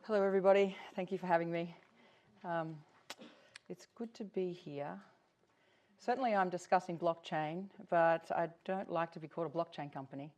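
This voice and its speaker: A woman's voice: lowish voice, a little mellow. A middle-aged woman with an Australian accent giving a conference presentation as an invited company executive.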